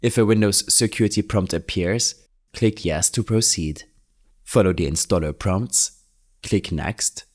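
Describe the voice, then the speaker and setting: Low-pitched man narrating a software tutorial. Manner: instructional, orderly, plainly worded. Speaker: tutorial host.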